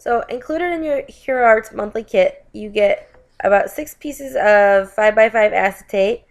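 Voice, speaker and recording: A woman's voice: on the high side, normal speed. Stuffy-nosed woman talking thru a craft tutorial, chatty, keeps correcting herself, says you guys a lot. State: stuffy from a lingering cold. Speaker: craft video host. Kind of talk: voiceover narration of a card-making tutorial.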